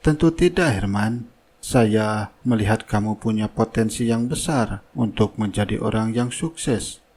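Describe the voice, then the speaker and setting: A man playing a wealthy, fatherly company boss in a cartoon drama, speaking formally with calm authority. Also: lowish voice, measured pace, husky. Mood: assured, generous.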